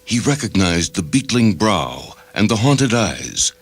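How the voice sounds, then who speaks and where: Low-voiced male story narrator. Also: big pitch swings, unhurried, husky. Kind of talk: scripted literary narration. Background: faint background music.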